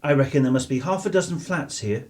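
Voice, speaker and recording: A man's voice: medium pitch, fast, darkish tone, lightly acted. A man playing a down-to-earth, teasing father in a radio play. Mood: amused, teasing. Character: down-to-earth working-class English father, gruffly teasing.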